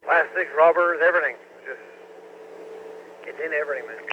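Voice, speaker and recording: A man's voice: fairly high pitch, a little fast. A man with a Newfoundland accent talking from his vehicle over a mobile radio, laconic with short replies. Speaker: ham radio operator. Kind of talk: casual radio chat. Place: in a moving vehicle. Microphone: mobile radio mic. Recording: dull radio audio with faint vehicle noise.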